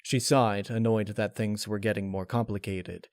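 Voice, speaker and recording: A man reading scripted dark fan fiction aloud as its narrator. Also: on the low side, steady tone, normal speed, no room sound.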